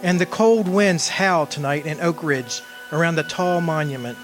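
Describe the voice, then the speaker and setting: A man acting lovestruck young suitor, radio drama, earnest, sentimental, slightly high voice, music underneath. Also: moderate pace, close to an everyday voice. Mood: mournful.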